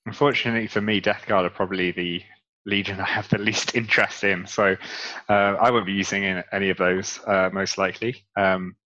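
A man's voice: low-pitched, fairly even intonation, fairly quick, sharp tone, lo-fi sound. A man co-hosting a casual podcast, talking with many ums as he explains game lore at length. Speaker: podcast co-host.

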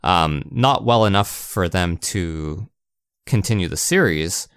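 A man's voice: low voice, lively intonation, slow pace, fairly bright tone, clean tone, no echo, clean studio sound. A man co-hosting a podcast, giving his opinions on video games in casual conversation. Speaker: podcast co-host. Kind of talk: casual video game discussion.

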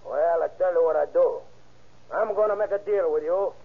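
An actor playing a cornered young gangster in 1930s radio drama style, with tough, taunting street talk on an old tinny recording. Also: male voice, a little high, normal speed. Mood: taunting, menacing. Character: cornered, sneering young gangster bandit with a gun.

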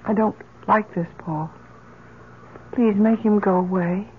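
An actress in an old radio melodrama playing a fragile, desperate mother in grief, halting and pleading. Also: medium pitch, steady tone, close to an everyday voice. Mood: pleading, fearful. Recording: dull, noisy old radio recording.

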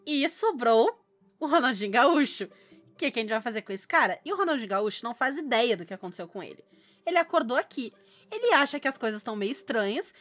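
High-pitched southern Brazilian woman telling stories. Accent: southern Brazilian Portuguese from Rio Grande do Sul. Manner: animated anecdote telling with slangy speech. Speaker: podcast co-host. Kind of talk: casual chat, storytelling.

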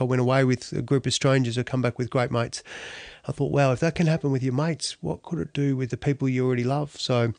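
A man's voice: medium pitch, no echo. A middle-aged Australian man telling his story earnestly as a podcast guest, with frequent restarts and a bit of mild swearing. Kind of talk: candid interview storytelling.

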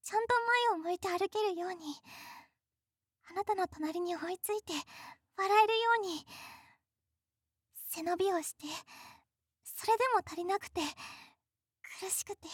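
A woman playing a timid, devoted teenage girl in a voice drama, speaking softly and earnestly. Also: extremely high pitch, a little slow, pauses often, dry recording, clean studio sound. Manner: soft and earnest, pleading. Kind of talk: voice drama acting.

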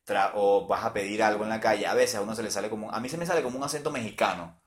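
Young Venezuelan man, low voice, echoey room. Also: racing. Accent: Venezuelan Spanish. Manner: talks things through, lots of restarts, playful. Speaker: co-host. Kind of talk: casual chat.

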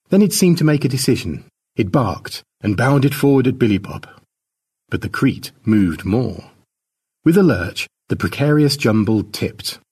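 A man narrating a scripted short story in a dramatic storytelling manner, with a husky voice. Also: medium pitch, a little mellow. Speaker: author narrating his own short story.

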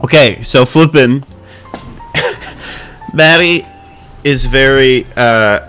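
A man's voice: medium pitch, very slow, fairly bright tone, clear, resonant tone, faint music behind. A young man co-hosting a podcast while stuffed up with a cold, telling stories in a self-mocking, casual way. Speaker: podcast co-host.

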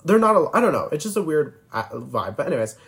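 A man's voice: on the high side, very animated, fast talker, vocal fry, fairly bright tone. A twenty-year-old man hosting a casual solo podcast, rambling and filler-heavy, constantly restarting his sentences. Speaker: podcast host.

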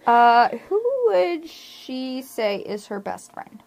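A woman's voice: a little high, animated, takes it slowly, creaky, a little mellow. A woman asking questions as an interviewer in a casual, informal way.

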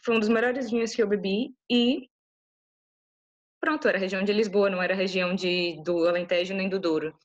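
A woman from Bahia speaks with a Brazilian Portuguese accent at a middling pitch for a woman. She answers interview questions eagerly, chatting along with frequent restarts. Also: fairly quick, muffled recording. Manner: enthusiastic, chatty, many restarts. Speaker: interview guest.

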